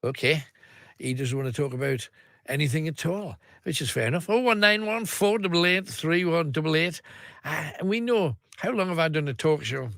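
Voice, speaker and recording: A man's voice: fairly high pitch, moderate pace, fairly bright tone, no room sound, clean studio sound. A man hosting a late-night radio phone-in, chatting casually with callers. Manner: chatty, reads out the phone number. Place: radio studio. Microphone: studio microphone.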